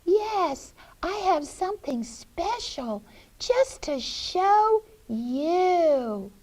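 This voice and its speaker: A woman's voice: very high-pitched, big pitch swings, extremely slow, darkish tone, pitched above the performer's own voice, heavily acted. A woman voicing a wicked old fairy-tale witch who coaxes with fake sweetness and gloats theatrically. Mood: sweetly coaxing. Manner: cackling, coaxing, theatrical.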